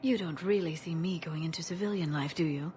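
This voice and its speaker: A woman playing a gentle, wistful alien scientist in a video game, speaking softly and tenderly, often breathy. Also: sits low, brisk, close to an everyday voice. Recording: faint music behind the voice.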